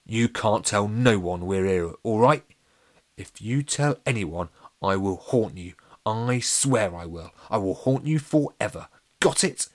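A man voicing a mischievous, boastful, centuries-old poltergeist father in a storybook, excitable and roughly spoken. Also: fairly low pitch, normal speed, few pauses, close to an everyday voice. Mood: stern, menacing. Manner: gloating, excitable, rough ungrammatical speech. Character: mischievous boastful 950-year-old male poltergeist dad.

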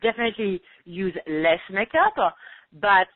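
A woman's voice: medium pitch, a little slow, no room sound. A French woman in her forties with a French accent, chatting casually as a guest and peppering her talk with fillers. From France. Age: 40s. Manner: animated, many fillers like "you know". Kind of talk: casual chat. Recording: tinny phone-like line.

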